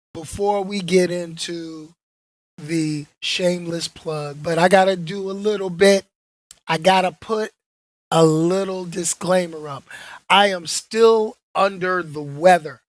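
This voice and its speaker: Hoarse, laid-back male podcast host. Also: high voice, no echo. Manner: laid-back, repeats himself, joking asides. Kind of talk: casual podcast chat.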